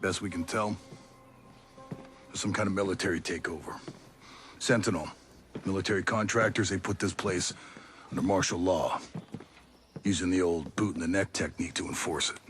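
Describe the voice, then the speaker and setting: An actor playing a wary, sardonic older local leader in a video game cutscene. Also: male voice, low voice, fairly even intonation, normal speed, pauses often, a bit bright, soft background music. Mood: grim, explaining.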